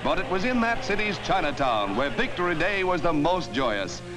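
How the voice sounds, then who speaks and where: A man's voice: fairly high pitch, sing-song, moderate pace. A man narrating a 1940s newsreel in an exuberant, dramatic announcer's delivery. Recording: old newsreel soundtrack with music.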